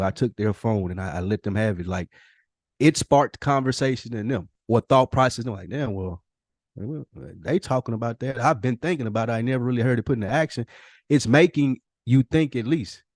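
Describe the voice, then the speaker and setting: A man's voice: mid-range voice, brisk, no room sound. Emphatic man in dry, close podcast sound. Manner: emphatic, profane, slangy. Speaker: podcast co-host. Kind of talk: casual opinionated podcast conversation.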